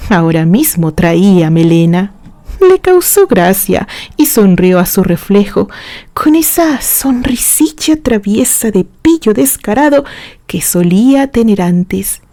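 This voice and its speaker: A woman's voice: mid-range voice, lively intonation, slow pace, warm tone, dry recording. A woman reading a romantic literary story as a scripted narration.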